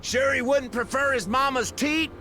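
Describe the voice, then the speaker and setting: A man's voice: extremely high pitch, normal speed, over music. An actor playing a hot-headed, defiant young frontier male in a video game, brash and foul-mouthed in manner. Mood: indignant, shouting.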